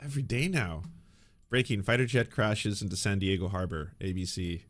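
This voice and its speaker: A man's voice: fairly low pitch, normal speed. A man hosting a sarcastic reaction commentary. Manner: sarcastic, mocking. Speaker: commentary host.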